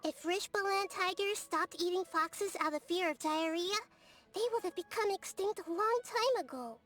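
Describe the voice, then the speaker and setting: Game role: tiny wise child goddess, extremely high voice, earnest, gently inquisitive, over music. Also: female voice, moderate pace. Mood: thoughtful. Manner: soft-spoken, thoughtful, quizzical, speaks in animal analogies. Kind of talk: video game character dialogue.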